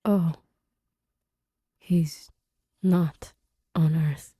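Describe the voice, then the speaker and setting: A woman voicing a distrustful, angry male castaway in audio fiction, gruff, confrontational and demanding. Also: low voice, fairly even intonation, extremely slow, dark tone, dry recording, clean studio sound, exaggerated voice. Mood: stunned. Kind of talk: character dialogue in audio fiction.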